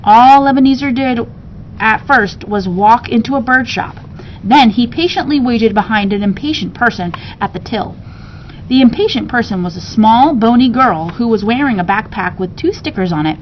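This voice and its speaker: A woman's voice: on the high side, expressive, normal speed, lo-fi sound, slight background noise. A woman reading a children's story aloud expressively, like a teacher to students, with casual asides.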